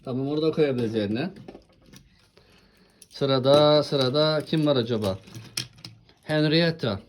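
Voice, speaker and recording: A woman's voice: a bass voice, unhurried, a little mellow, a little hiss or hum. A woman hosting a casual toy showcase with a child, chatting in an encouraging way and often asking questions. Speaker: host presenting toy trains with a child.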